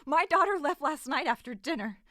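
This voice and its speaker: A woman's voice: high voice, expressive, brisk, fairly bright tone, dry recording, clean studio sound. A woman playing a distressed island mother in an audio drama, emotional and questioning. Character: distressed, outraged island mother of missing daughter.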